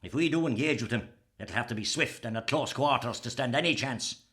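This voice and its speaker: An actor with an Irish accent playing a commanding, urgent rebel column leader in a radio play. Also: male voice, mid-range voice, fairly quick, bright, forceful tone, slight background noise, close to an everyday voice. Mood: tense, resolute.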